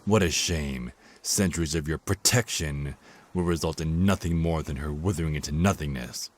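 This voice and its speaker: An actor playing a cruel, contemptuous Archangel of Death in an audio drama, with a mocking, theatrical delivery. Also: male voice, extremely low pitch, normal speed. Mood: mocking, gloating. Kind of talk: dramatic villain monologue in audio roleplay.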